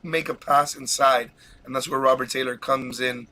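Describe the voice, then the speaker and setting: A man hosting a casual live podcast, talking excitedly and rambling with frequent laughs while fighting a cold. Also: mid-range voice, normal speed. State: has a cold. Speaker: podcast host.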